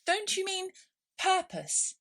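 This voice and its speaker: A well-mannered, timid little girl from a storybook, voiced by a woman. Her voice is high and moves up and down with lively expression. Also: slow pace, heavily acted. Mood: surprised.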